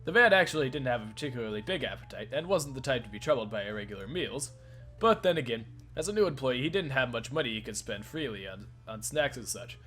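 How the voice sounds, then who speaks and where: A man reading a game's story aloud at a middle pitch, then breaking off to laugh and swear casually with his co-hosts. Faint music plays underneath. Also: expressive, fast talker, a bit bright. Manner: laughs often, casual and profane between lines. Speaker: let's play host and narrator. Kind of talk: reading a visual novel aloud with commentary.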